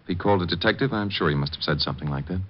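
An actor playing an authoritative family doctor in 1950s radio drama, firm, placating and a little condescending. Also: male voice, low-pitched, rapid-fire. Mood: smooth, dismissive. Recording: dull vintage radio recording.

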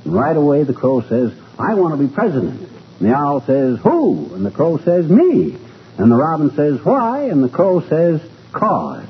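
A man's voice: a little high, huge pitch swings, moderate pace. A man playing a folksy, blustery middle-aged husband who jokes and spins yarns in a 1940s radio comedy. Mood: storytelling. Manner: folksy, colloquial, chuckling. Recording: tinny, noisy old radio recording.

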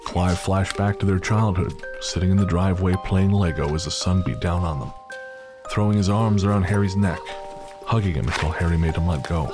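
A man with a very deep voice narrating an audio drama, measured and somber. Clear music plays behind him throughout.

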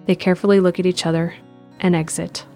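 A woman with a slightly low voice narrates a scripted drama. Her delivery is measured and descriptive, with faint music playing beneath. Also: level tone, dark tone.

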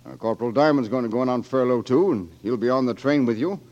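An actor playing a brisk, authoritative military officer who speaks matter-of-factly, in 1940s radio acting. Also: male voice, mid-range voice, darkish tone, lightly acted. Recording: dull lo-fi old radio recording.

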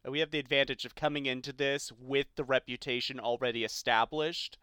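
A man's voice: medium pitch, steady tone, brisk, bright, forceful tone, clean tone, no room sound, clean studio sound. A man co-hosting a podcast, casually discussing films. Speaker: podcast co-host.

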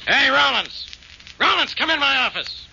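A man's voice: very high-pitched, lively intonation, normal speed, vocal fry, exaggerated voice. A man playing a bossy, impatient police inspector in a 1940s radio mystery, brusque and barking. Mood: impatient, demanding. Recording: muffled old radio with loud organ.

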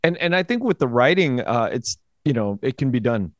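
A man's voice: medium pitch, very animated, brisk, no echo, clean studio sound. A middle-aged man interviewing a guest, searching for words and restarting as he asks his questions. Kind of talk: casual interview conversation.

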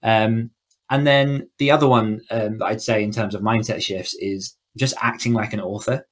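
Male interview guest, author-coach type, lowish voice, conversational, self-correcting as he explains. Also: fairly even intonation, moderate pace.

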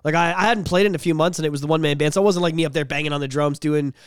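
A young man co-hosting a casual podcast, telling animated stories, swearing freely and humming bits of songs. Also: fairly high pitch, very fast, clear, resonant tone, dry recording, clean studio sound. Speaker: podcast co-host and musician.

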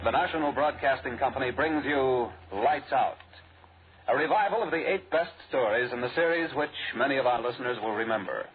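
Old time radio announcer guy, formal, mid pitch, thin sound w some hiss. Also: brisk. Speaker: network announcer. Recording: tinny, noisy 1940s radio recording.

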